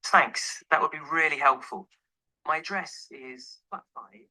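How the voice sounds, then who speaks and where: A man playing a flat hunter minding the cost, in a scripted listening-exercise dialogue. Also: on the high side, unhurried, poor audio. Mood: interested, doubtful. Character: male flat hunter worried about cost.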